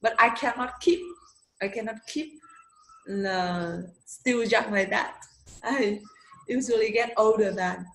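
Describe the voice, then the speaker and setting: A woman's voice: fairly high pitch, takes it slowly, creaky. A young adult woman chatting in English, hesitant and searching for her words with many fillers. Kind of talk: casual conversation in English. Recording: echoey room.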